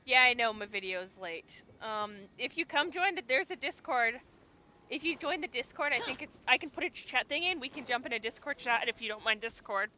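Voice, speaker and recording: A woman's voice: high-pitched, lively intonation, brisk, sharp tone, slight background noise, low-quality recording. A woman streaming a video game, chatting offhand with viewers and swearing casually as she plays. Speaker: gaming streamer. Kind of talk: casual live-stream chat while playing.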